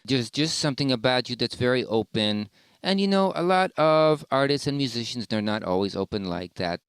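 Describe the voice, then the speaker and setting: Podcast host guy, smooth clean voice, chatty n effusive, no room echo at all. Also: medium pitch, expressive, normal speed, fairly bright tone. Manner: effusive, opinionated. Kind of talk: casual podcast interview.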